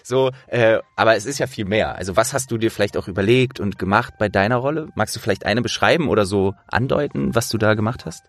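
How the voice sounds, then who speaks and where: Low-voiced man hosting a casual interview. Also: fast, hardly any pauses. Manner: explanatory, addressing listeners. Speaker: interviewer and host.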